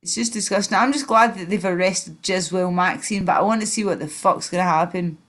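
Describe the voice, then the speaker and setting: A woman's voice: on the low side, fairly quick, a bit bright. A woman with a Glaswegian Scottish accent hosting a casual livestream chat, rambling and blunt, swearing freely. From Scotland. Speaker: livestream host.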